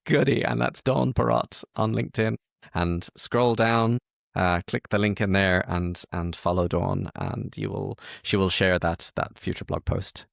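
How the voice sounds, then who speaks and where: Deep gravelly guy hosting a podcast, dry close sound, jokes at his own expense. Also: fairly even intonation, a little slow, dry recording. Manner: self-deprecating, playful, hesitant with repeated words. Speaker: podcast host and support consultant. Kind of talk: casual interview and screen-share walkthrough.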